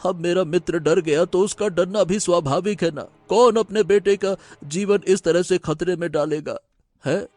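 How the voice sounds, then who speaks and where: An actor playing a frightened, defensive village man who stammers in an animated story. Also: high-pitched, normal speed, no room sound, soft background music, lightly acted. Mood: nervous, defensive. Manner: nervous stammering, hesitant.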